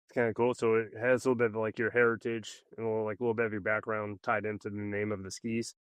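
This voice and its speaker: Relaxed male podcast host. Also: on the low side, quick pace, smooth, no room sound. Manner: relaxed, informal phrasing. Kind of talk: casual interview questions.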